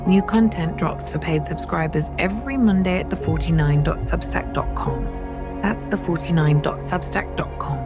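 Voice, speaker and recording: A woman delivers a scripted podcast sign-off in a fairly low voice. Music plays clearly underneath her announcement. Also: moderate pace.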